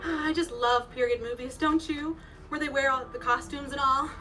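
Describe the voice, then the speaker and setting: A woman's voice: sits high, fairly even intonation, moderate pace, music in the background, lightly acted. A woman acting a gushing, romantic small-town sweetheart in an old-time radio comedy, swooning and coquettish. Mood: excited, dreamy. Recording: stage radio play, somewhat distant mic.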